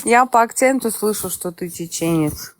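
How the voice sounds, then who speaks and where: A woman hosting a live voice chat, talking casually, direct and skeptical, quick to tease and challenge. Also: mid-range voice, expressive, background noise. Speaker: stream host.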